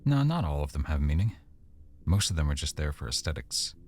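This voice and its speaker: A man playing an affectionate, easygoing boyfriend in an intimate roleplay, speaking casually and softly with hesitant pauses. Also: a bass voice, big pitch swings, heavily acted. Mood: fond, intimate. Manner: soft-spoken, casual, hesitant pauses and trailing thoughts. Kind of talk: boyfriend roleplay ASMR, one-sided intimate conversation.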